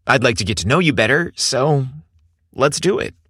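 Guy doing a shy nervous young guy from a story, hesitant, pitch goes up and down. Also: animated, moderate pace, a bit bright, husky, dry recording, clean studio sound. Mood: nervous, agreeing. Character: shy, nervous young man on a first date.

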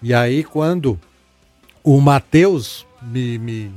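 A man hosting a casual podcast. He chats in a relaxed way and reads listener messages aloud, with many fillers and repeated words. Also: mid-range voice, very slow, faint music behind. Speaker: podcast host.

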